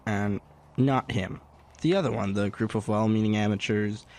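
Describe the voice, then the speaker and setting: An actor playing a hapless, evasive horror writer in a comic audio drama, hesitant and defensive. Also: male voice, lowish voice, normal speed, a little mellow, lightly acted.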